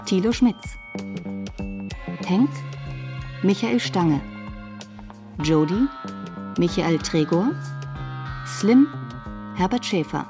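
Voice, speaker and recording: A woman's voice: lowish voice, lively intonation, extremely slow, long pauses. A woman reading scripted radio drama credits as an announcer. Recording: guitar music behind.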